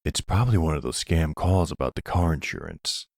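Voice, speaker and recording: Man acting as middle-aged mafia boyfriend, bottomless low pitch, gravelly rasp, affectionate, wry, roleplay audio. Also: sing-song, a little fast, darkish tone, no room sound, clean studio sound, exaggerated voice. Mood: wry, exasperated. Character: gruff, devoted middle-aged mafia boss and stepfather.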